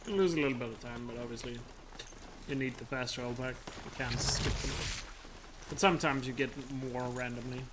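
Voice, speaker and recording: A man's voice: medium pitch, fairly quick, noisy background. A man with a Danish accent giving casual live-stream commentary as a speedrunner; chatty, he laughs often and swears at his mistakes. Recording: stream mic with game sounds behind.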